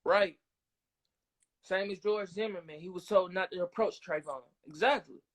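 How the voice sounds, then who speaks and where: A man's voice: high-pitched, moderate pace. An American man co-hosting a casual podcast, talking bluntly in slang with profanity and frequent tag questions. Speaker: podcast co-host.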